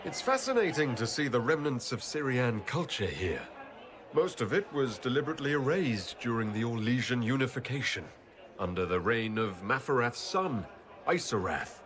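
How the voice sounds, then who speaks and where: An actor playing a composed, courteous male leader in a fantasy video game, measured and polite with dry humour. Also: medium pitch, very animated, a crowd in the background, close to an everyday voice. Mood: awkward, stalling. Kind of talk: video game cutscene acting.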